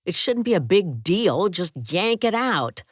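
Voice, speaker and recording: A woman reading a gruff, menacing male hospital patient who taunts in a snide voice. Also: lowish voice, big pitch swings, measured pace, dry recording, studio quality, voice pushed up. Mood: complaining.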